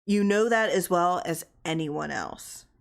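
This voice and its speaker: Woman reading as a brisk, formal town official. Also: fairly low pitch, lively intonation, vocal fry. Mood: gentle. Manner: orderly, directing, matter-of-fact.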